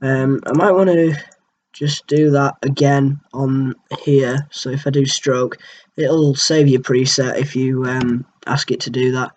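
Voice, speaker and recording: A young man with a cold and a tickly throat, talking through a software tutorial at his computer in a rambling, hesitant way with lots of ums. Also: medium pitch, fairly even intonation, unhurried, dull audio, a little hiss or hum. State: has a cold, keeps clearing his throat. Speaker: gaming channel host. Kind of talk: casual screen-recorded software tutorial.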